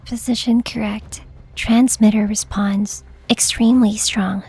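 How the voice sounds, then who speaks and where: A woman voicing a determined young female director, breathy and halting, in a game fan dub. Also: mid-range voice, fairly even intonation, a little mellow, low-quality recording. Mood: tense, hushed. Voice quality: breathy, husky.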